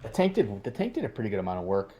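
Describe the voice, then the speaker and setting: A man's voice: fairly low pitch, wildly swooping pitch, rapid-fire, warm tone, slight background noise. A male commentator asking a player questions in a post-game discussion, rambling with many restarts.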